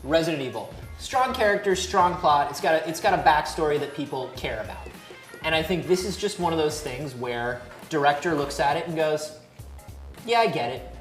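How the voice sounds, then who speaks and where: Low-voiced woman in an emphatic chat. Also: expressive, a little fast. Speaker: panelist on a video game talk show. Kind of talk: casual panel debate. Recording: roomy echo, music underneath.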